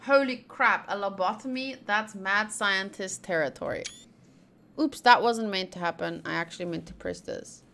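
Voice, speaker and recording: A woman streamer plays through a visual novel in a bright, forceful voice at a middle pitch. She reads the text aloud and chats casually, thinking aloud as she goes. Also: moderate pace, gravelly. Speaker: game streamer.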